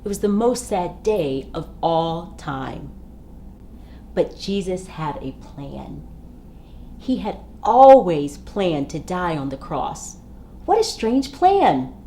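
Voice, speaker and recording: Female storybook reader for young children: expressive and emphatic, highly varied intonation, measured pace. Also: a little hiss or hum. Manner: emphatic, dramatic phrasing in simple sentences for children.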